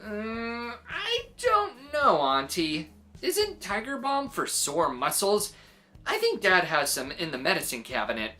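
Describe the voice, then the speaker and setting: A woman's voice: medium pitch, huge pitch swings, takes it slowly, bright, forceful tone, faint music behind, pitched above the performer's own voice, full character voice. A woman voicing an earnest, curious young nephew in a children's story, questioning and exclaiming. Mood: puzzled. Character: earnest, curious little nephew, doubtful but eager.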